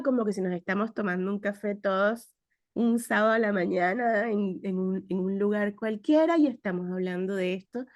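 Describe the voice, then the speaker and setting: A woman hosting and opening an online talk over a video call, relaxed and chatty with filler words. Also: mid-range voice, no echo, studio quality. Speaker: host and program director.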